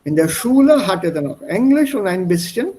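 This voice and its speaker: A man's voice: high-pitched, very animated, fairly quick, warm tone, slight background noise. A man teaching German in an online class, explaining words and switching between German and English. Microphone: video call.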